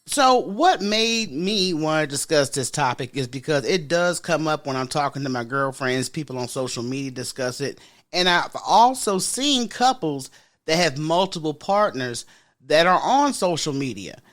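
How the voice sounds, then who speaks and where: A woman with a deep voice hosting a podcast in casual solo chat, frank and sassy, talking straight to her listeners. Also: lively intonation, moderate pace. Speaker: podcast host.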